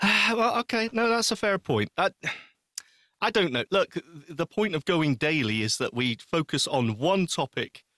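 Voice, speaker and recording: A man's voice: a little high, animated, unhurried, pushed and bright, no echo, studio quality. A man co-hosting a podcast, chatting casually with a teasing, playfully blunt manner. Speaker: podcast co-host. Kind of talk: casual banter chat.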